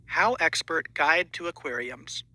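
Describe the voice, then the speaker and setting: A man's voice: on the high side, a little slow, pushed and bright, no room sound. A man reading scripted audiobook narration as an audition.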